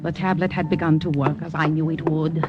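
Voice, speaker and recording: An actress playing a sorrowful, resolute secret agent in an old radio drama, speaking softly and deliberately. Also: female voice, deep voice, steady tone, lightly acted. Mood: somber narrating. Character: sorrowful, resolute female secret agent who poisons her lover. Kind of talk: 1940s-50s radio drama acting. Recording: dull old radio recording, loud music.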